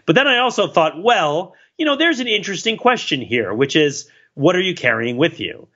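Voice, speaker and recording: A man with a bright, forceful voice chats casually as a podcast host. His pitch moves a great deal as he rambles with wry self-deprecation. The recording is slightly dull. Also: big pitch swings, moderate pace. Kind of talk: casual chat.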